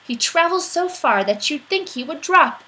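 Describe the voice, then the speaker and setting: A woman's voice: sits high, lively intonation, moderate pace, sharp tone, voice pushed up, poor audio. A woman voicing amazed, admiring townspeople in a children's storybook, exclaiming in awe. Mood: amazed admiration. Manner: exclaiming, awestruck. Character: amazed, admiring townspeople praising a young zookeeper. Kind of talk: storybook read-aloud.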